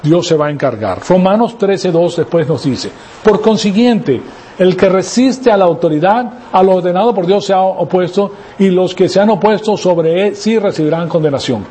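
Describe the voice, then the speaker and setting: A man's voice: sits high, lively intonation, moderate pace, dark tone, husky. A man raised in Venezuela preaching a sermon, emphatic, asking rhetorical questions and tagging lines with "ok?". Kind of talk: sermon teaching.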